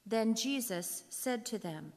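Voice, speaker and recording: Woman reading bible narration out loud, measured, highish voice, reverby hall. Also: lively intonation. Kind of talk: scripted scripture narration.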